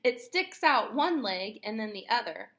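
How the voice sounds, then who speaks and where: A woman with a fairly high, very bright voice reads a children's book aloud as a teacher. Her delivery is expressive and instructive, moving between reading and explaining, with questions aimed at young listeners. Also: measured pace.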